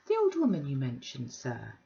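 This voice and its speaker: A woman's voice: very deep voice, huge pitch swings, normal speed, gravelly, dark tone, heavily acted. A woman voicing a genteel older lady who speaks in a prim, deferential, overly polite way. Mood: fawning. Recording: dull lo-fi recording.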